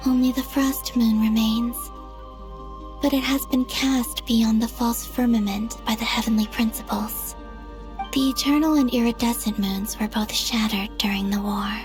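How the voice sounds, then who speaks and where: A woman playing a melancholy young moon goddess in a game cutscene, speaking softly and gently with some hesitation. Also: a little high, steady tone, normal speed, natural voice. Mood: quiet sorrow. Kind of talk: dramatic game cutscene acting. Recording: background music under the voice.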